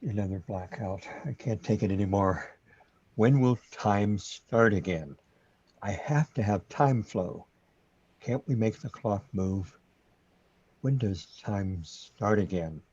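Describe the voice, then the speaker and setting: A man playing a panicking, fretful man in a radio play, faltering and pleading, with a husky voice. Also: fairly low pitch, slow pace, darkish tone, no echo, muffled recording. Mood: desperate, panicked. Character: panicking man lost in the void, desperate and fretful.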